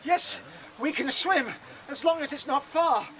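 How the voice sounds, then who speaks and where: An actor playing an earnest, courteous rabbit leader in a radio play, directing the others. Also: male voice, very high-pitched, unhurried, bright, forceful tone, a little hiss or hum, heavily acted. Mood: resolute.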